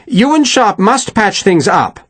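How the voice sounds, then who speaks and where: A man's voice: sits high, sing-song, unhurried, a bit bright, slightly rough, dry recording, voice pushed up, heavily acted. A man voicing a genteel, evasive army colonel with a breezy, placating manner.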